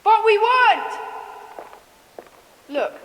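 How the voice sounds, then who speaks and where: A woman's voice: very high-pitched, big pitch swings, very slow, fairly bright tone, big-room sound, full character voice, poor audio. A woman playing an earnest, puzzled schoolboy in a children's adventure audio drama. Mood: puzzled, insistent.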